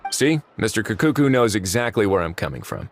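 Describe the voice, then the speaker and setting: An actor playing a calm, authoritative male warden in a video game, speaking in a cool, measured, dryly commanding way. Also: lowish voice, over music. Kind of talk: video game cutscene dialogue.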